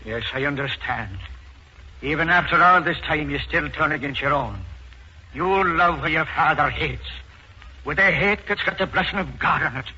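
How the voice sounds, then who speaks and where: A man's voice: a little high, normal speed, pushed and bright. An actor playing a bitter, wrathful old Irish father in an impassioned, declamatory 1940s radio style. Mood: bitter, cursing. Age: older. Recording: muffled, noisy 1940s radio recording.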